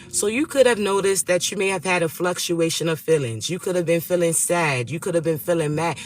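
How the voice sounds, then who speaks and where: A man with a raspy voice hosting a livestream spiritual reading, speaking slowly and affectionately and checking in with listeners often. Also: high voice, sharp tone. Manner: affectionate, addresses listeners as chosen ones and babies; slow, deliberate delivery with frequent check-ins.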